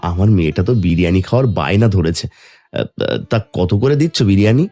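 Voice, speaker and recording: A man voices a poor, soft-hearted village dad in a cartoon tale, with a Bengali accent. His low voice swings expressively and hurries along in humble, pleading phrases, with music playing underneath. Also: expressive, fast. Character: poor, gentle, doting village father.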